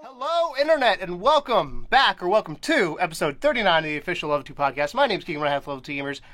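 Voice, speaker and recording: A man's voice: sits high, very animated, quick pace, a bit bright, faint music behind. A young man hosting a casual gaming podcast, a bit nasal from a cold, rambling and laughing often. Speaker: podcast host.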